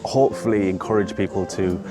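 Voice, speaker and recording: Deep-voiced man interviewed over loud music. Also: steady tone, a little fast. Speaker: choreographer and movement director, interviewee. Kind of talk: informal documentary interview talk.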